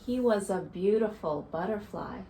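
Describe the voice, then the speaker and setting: A woman in her thirties speaking as a teacher to young children, reading a picture book and explaining it simply in a deliberate, instructive way. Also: mid-range voice, animated, dark tone, a little hiss or hum, poor audio. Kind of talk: expressive picture-book read-aloud and simple lesson for children. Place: small living room, indoors.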